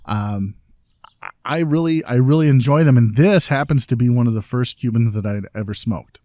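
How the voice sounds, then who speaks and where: A man's voice: mid-range voice, normal speed, a little mellow, dry recording, studio quality. A man co-hosting a casual podcast outdoors, opinionated and fond of complaining, but enthusiastic about what he likes. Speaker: podcast co-host. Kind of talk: casual chat. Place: outdoors, light breeze.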